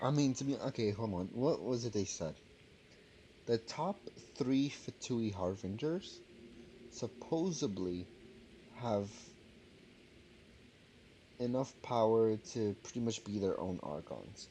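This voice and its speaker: Casual, animated male game streamer. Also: on the low side, takes it slowly, pauses often, slight background noise. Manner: slangy, offhand. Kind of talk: casual gameplay commentary. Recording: game music behind the voice.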